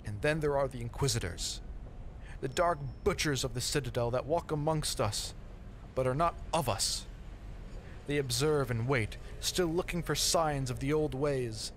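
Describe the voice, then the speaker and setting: A man's voice: medium pitch, normal speed, slight background noise, pitched above the performer's own voice, natural voice. A man voicing a fervent, tearful female rogue priestess preaching doom in an impassioned, pleading and sneering manner for an audio drama. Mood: fervent, accusing.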